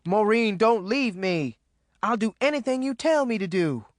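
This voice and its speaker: Man acting a frantic, wailing big woman, shrill. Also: expressive, moderate pace, no echo, clean studio sound. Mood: desperate pleading. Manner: wailing, desperate, shouted pleas. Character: big frantic Southern older woman, wild and pleading. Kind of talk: audiobook character acting.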